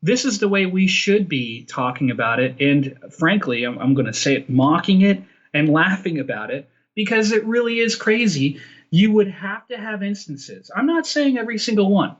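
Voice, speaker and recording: A man with a fairly high voice, heard through dull, lo-fi audio like a call. He talks as an interview guest, casual and opinionated, pressing his points emphatically and repeating phrases for stress. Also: very animated, normal speed. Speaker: interview guest, film director.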